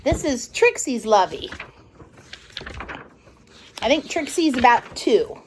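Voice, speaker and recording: Slow, lively, high-voiced woman reading a storybook. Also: slight background noise, low-quality recording. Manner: expressive, conversational asides to listeners. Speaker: storytime host reading a picture book. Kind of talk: animated read-aloud storytelling to young children.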